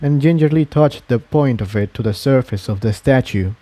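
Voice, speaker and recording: Male audiobook narrator for fantasy adventure fiction, medium pitch, very dry close recording. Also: normal speed, dark tone, no room sound. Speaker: narrator reading fan fiction. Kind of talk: audiobook-style scripted narration.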